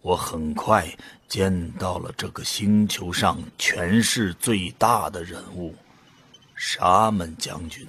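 A man's voice: low-pitched, takes it slowly, fairly bright tone, husky, full character voice. A man acting in an audio drama as an awed, unsure ordinary volunteer who narrates his own story. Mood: grave narration. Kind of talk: dramatic scripted narration and dialogue.

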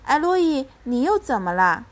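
A woman's voice: high voice, big pitch swings, normal speed, pitched above the performer's own voice. A woman voicing a young mother in a children's storybook, tender with her child and fiercely protective, by turns coaxing and commanding. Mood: puzzled, weary.

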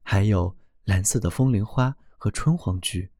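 A man voicing an ancient male oak tree in a storybook tale, speaking in a lowered, slow and wondering voice. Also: dark tone, no room sound, studio quality. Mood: longing.

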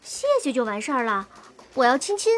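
A woman's voice: a little high, very animated, brisk. A woman playing a playful, affectionate auntie who fusses over children in an audio drama, talking in a cutesy way. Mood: playful, doting.

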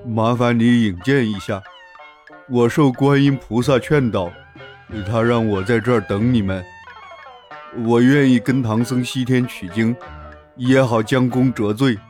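A man's voice: medium pitch, steady tone, a little slow, darkish tone, faint music behind, natural voice. A man playing a boastful, oafish pig demon in a dramatized storybook, with a blustering, stammering delivery. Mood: humble. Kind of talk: dramatized storybook character acting.

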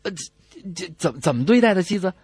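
A man hosting a comedy radio show, telling jokes in an animated back-and-forth style. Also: sits high, fast talker, husky, dry recording. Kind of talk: animated joke telling with co-host.

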